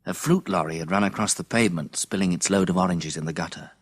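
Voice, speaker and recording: A fairly low British male voice as the sighted hero of a post-apocalyptic radio play. He is steady and practical, with an urgent, shaken edge. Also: fast. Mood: sombre narration. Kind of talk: radio drama dialogue and first-person narration.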